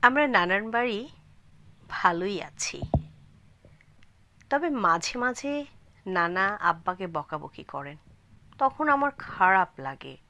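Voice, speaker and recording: A woman storyteller narrates a scripted tale at a slow, measured pace. Her voice is clearly bright and forward, and she delivers the text phrase by phrase. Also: mid-range voice, very animated, lo-fi sound, a little hiss or hum. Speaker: channel storyteller.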